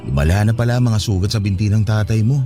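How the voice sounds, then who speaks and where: A man's voice: lowish voice, fast, warm tone, soft background music, close to an everyday voice. A man playing a hardworking, protective older brother who works on a farm, speaking earnestly in a radio drama. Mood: casual, friendly. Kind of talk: Tagalog radio drama dialogue.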